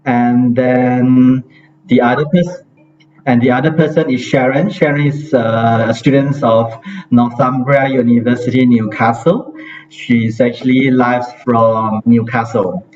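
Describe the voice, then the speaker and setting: A man's voice: medium pitch, steady tone, a little slow, darkish tone, soft background music. A man hosting a casual live chat over a video call, talking hesitantly with many fillers and restarts. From Malaysia. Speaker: host. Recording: distant, dull-sounding mic with faint hiss.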